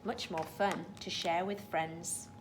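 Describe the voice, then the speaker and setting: A woman's voice: on the low side, steady tone, slow pace, a little hiss or hum, poor audio. A woman teacher reading a storybook to young children, stopping to explain things in simple words. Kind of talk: storybook read-aloud with explanatory asides.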